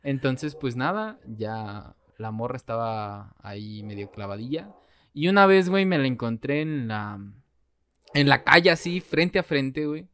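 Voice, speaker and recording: A young Mexican man with a Mexican Spanish accent talks loosely with a friend. He is full of slang and laughs easily, and he turns slow and thoughtful when he gets serious. His voice sits at an everyday middle pitch. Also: expressive, fairly bright tone, slight background noise. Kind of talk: casual chat.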